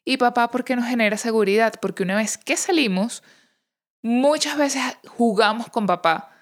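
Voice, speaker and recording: A woman's voice: a little high, moderate pace. A woman hosting a casual solo podcast, speaking informally and encouragingly straight to her listeners. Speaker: podcast host.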